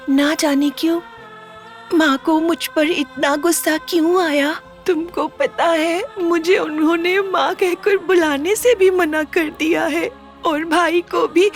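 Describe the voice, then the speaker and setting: A woman voicing a timid, mistreated little girl in a cartoon, speaking gently and pleading. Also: very high voice. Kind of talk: cartoon voice acting.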